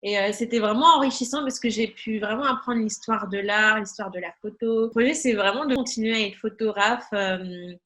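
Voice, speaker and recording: A woman's voice: fairly high pitch, fairly quick, few pauses, pushed and bright. A woman in her twenties, a freelance photographer, talking informally about herself in an interview. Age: 20s. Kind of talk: informal self-introduction and interview.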